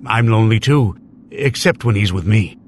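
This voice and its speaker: A man voicing a gruff, lonely old gardener, sour and blunt, in an animated children's story. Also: fairly low pitch, moderate pace, slight background noise, natural voice. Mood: frank, lonely. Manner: blunt, terse.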